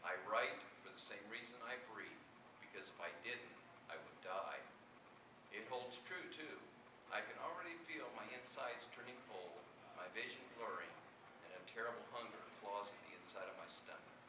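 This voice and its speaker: A man's voice: on the low side, normal speed. A man reading first-person horror fiction aloud at a live reading, in the manner of an author presenting his work. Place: indoor room at a convention reading. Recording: roomy, distant live recording with clear noise.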